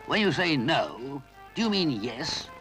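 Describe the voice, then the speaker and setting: A man's voice: a little high, big pitch swings, a little slow, sharp tone, faint music behind, voice pushed up, exaggerated voice. A man voicing a grumpy, fussy brownie who speaks crossly but means well. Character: brisk grumpy elderly brownie, kindly underneath.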